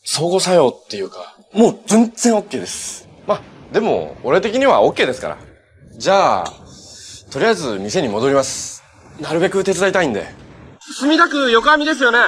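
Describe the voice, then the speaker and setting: An actor playing a cheerful, earnest young superhero in a live-action TV drama, polite and easygoing. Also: male voice, high voice, pushed and bright, slight background noise, full character voice. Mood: earnest, reflective, then upbeat, reassuring, then urgent. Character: cheerful, earnest young male hero who fights monsters.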